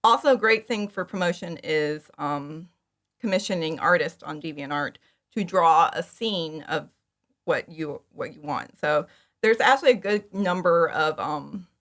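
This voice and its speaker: A woman speaking as a guest in a casual interview, giggly, with animated asides and lots of "like". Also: sits low, a little slow. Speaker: podcast guest, fan audio drama creator.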